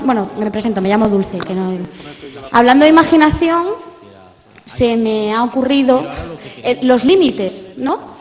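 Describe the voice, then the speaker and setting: A woman speaks up from the audience in a high voice. She works through her question tentatively, adding small tag questions. The recording is muffled and rings with hall reverb. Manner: tentative, tag questions while thinking aloud. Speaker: audience member asking a question. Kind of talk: spontaneous question from the floor. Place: large conference hall. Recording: muffled, echoey hall sound.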